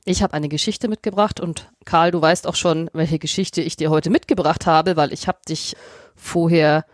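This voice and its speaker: A woman co-hosting a podcast in casual chat, sounding a bit off from a cold but enthusiastic and quick to laugh. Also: deep voice, steady tone, no echo, studio quality. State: has a cold. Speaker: podcast co-host, astrophysicist.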